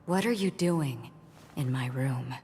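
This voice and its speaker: A mysterious, melancholy ranger from a video game, speaking slowly in a low register. She is composed and softly formal, with a long reverberant tail on her measured words. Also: lively intonation, background noise, full character voice. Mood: cool, deadpan. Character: enigmatic, composed female wandering ranger, distant and melancholy.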